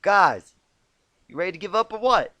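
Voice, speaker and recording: A man's voice: high voice, lively intonation, moderate pace, no echo, voice pushed up. A man voicing a brash, impatient young female pegasus pony from a cartoon fan story, calling out tauntingly. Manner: loud, taunting calls.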